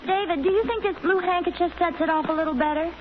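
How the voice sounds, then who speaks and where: An American actress playing a gentle, indecisive, fondly secretive mother in a 1940s radio sitcom. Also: very high-pitched, fast, loud music behind the voice. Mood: gently confiding. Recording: muffled, noisy 1940s radio broadcast.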